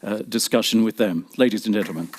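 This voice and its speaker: A man hosting a live panel discussion as moderator, putting questions somewhat haltingly. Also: on the low side, no room sound.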